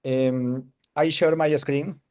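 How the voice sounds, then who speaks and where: A man from Colombia whose first language is Spanish, with a Colombian Spanish accent, giving a halting testimonial in broken English over a video call. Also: medium pitch, fairly even intonation, a little mellow, clear, resonant tone. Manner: hesitant broken English, searching for words. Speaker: webinar attendee giving a testimonial.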